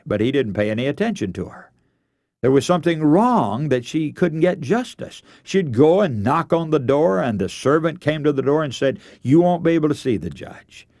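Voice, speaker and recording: A man's voice: medium pitch, darkish tone, no echo, clean studio sound. A man preaching a radio sermon, earnest and emphatic, telling stories and posing rhetorical questions.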